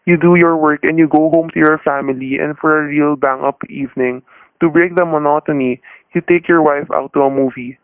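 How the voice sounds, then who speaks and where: An actor playing a plainspoken middle-aged husband who narrates a suspense story in old-time radio style, with a folksy, colloquial manner. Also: male voice, on the high side, steady tone, brisk, clear, resonant tone, dry recording, phone-quality sound, close to an everyday voice. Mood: grim, reflective. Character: weary, plainspoken middle-aged husband telling his ordeal. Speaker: narrator and lead character. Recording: dry, band-limited old radio recording.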